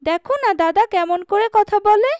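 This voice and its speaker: A woman's voice: very high-pitched, moderate pace, darkish tone, no room sound, studio quality. A woman voicing an outspoken, cheeky young village girl, a little sister in a cartoon. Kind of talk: cartoon dubbing.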